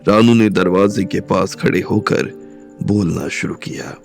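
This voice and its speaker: A man narrates an audiobook drama slowly and deliberately, his low voice full of gravel. Soft music plays behind him the whole time. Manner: slow, measured storytelling.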